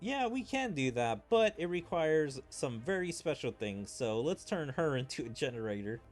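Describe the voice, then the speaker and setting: A man's voice: a little high, big pitch swings, normal speed, faint music behind. A man streaming a game, reading its dialogue aloud in a theatrical way and adding his own commentary. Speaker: gaming streamer.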